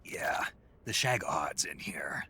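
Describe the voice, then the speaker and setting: A man playing a gruff, gravelly, terse special forces soldier in a video game. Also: extremely low pitch, animated, unhurried, pushed and bright, whispered, heavily acted. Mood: terse, focused. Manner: terse, restrained. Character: gruff, stoic male American special forces soldier on a covert mission. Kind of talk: dramatic video game voice acting.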